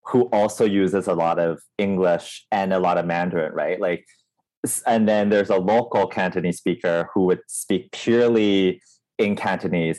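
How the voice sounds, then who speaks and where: Man, from Taiwan, very low voice, thoughtful, explains with examples, panel chat. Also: darkish tone, poor audio. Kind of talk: conversational panel discussion.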